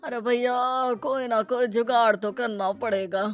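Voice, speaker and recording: Woman playing sly, greedy village moneylender, high-voiced. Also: fairly even intonation, measured pace, faint music behind, close to an everyday voice. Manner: sly, wheedling, brusque. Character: greedy scheming village moneylender, middle-aged man. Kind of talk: animated moral-story cartoon acting.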